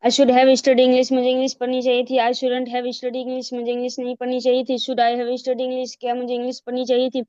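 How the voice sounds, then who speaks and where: A female student reciting a grammar drill in a fast, even way. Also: high voice, clean tone, dry recording, muffled recording.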